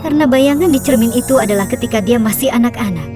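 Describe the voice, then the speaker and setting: A woman tells a children's fairy tale in a fairly high voice. Her scripted narration is measured and expressive, with music clearly playing behind it. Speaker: storyteller, narrator.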